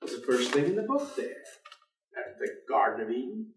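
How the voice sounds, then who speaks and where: An actor playing a weary, stubborn intellectual professor in a staged play reading, speaking in a dry, precise and formal way. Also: male voice, medium pitch, huge pitch swings, moderate pace, a little hiss or hum. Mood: cynical. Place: indoor theater stage. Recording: echoey theater, distant microphone.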